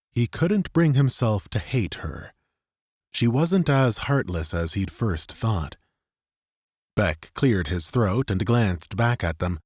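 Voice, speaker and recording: A man's voice: on the low side, darkish tone, no room sound, clean studio sound. A man reading scripted third-person fiction narration as an audiobook narrator.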